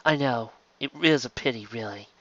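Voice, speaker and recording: A man voicing a mysterious woman interrogator in an audiobook, soft and teasing, with a hushed, slow, probing delivery. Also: medium pitch, lo-fi sound, a little hiss or hum, natural voice. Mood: softly teasing.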